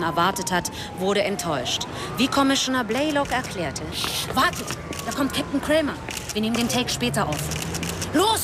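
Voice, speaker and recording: An actress playing a pushy, insistent female TV news reporter on location in a radio drama, brisk and professional. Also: medium pitch, natural voice. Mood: reporting. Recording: clear city traffic and siren noise. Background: street ambience, vehicles, sirens.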